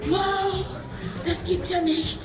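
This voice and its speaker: An actress playing an excitable, starstruck alien fast-food worker in a children's radio play, gushing and eager. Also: female voice, very high-pitched. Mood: starstruck, thrilled. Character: excitable many-armed alien burger clerk, starstruck fan. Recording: echoey, music and crowd bustle behind.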